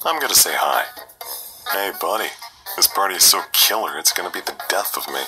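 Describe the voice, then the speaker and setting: Awkward, wry cartoon skeleton, played by a man: low register, animated pitch, sardonic delivery. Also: brisk. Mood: wry, amused. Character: wry, awkward, pun-loving skeleton slacker. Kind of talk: cartoon comic dub. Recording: music over played-back video.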